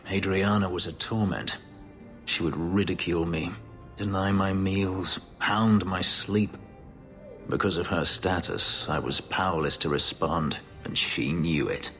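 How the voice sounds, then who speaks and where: Low, brooding, bitter elf warrior. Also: male voice, fairly bright tone. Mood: remorseful, brooding. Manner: terse, intense, embittered. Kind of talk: dramatic video game acting. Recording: reverberant game audio with music.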